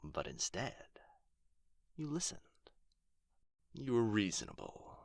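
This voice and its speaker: A man playing an irritable, proud dragon guarding his hoard in an ASMR fantasy roleplay, with a theatrical, haughty, breathy delivery. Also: fairly low pitch, huge pitch swings, slow, no room sound, studio quality, heavily acted. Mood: haughty, calmer.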